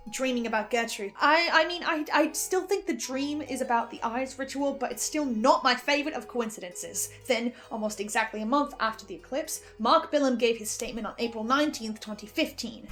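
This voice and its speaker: Excitable lady doing theory commentary, high voice, cuts herself off, music behind her. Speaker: podcast listener giving reaction commentary.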